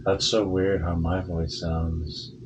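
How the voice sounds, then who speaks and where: A young man having his cochlear implant switched on, slowly describing what he hears with hesitant, searching words. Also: low-pitched, level tone, background noise. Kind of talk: casual conversation.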